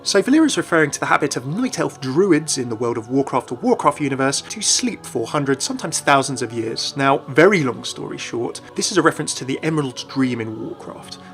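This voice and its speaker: A man's voice: mid-range voice, very animated, brisk, few pauses, fairly bright tone. A man hosting a video game channel, reading a scripted explanatory narration. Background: background music.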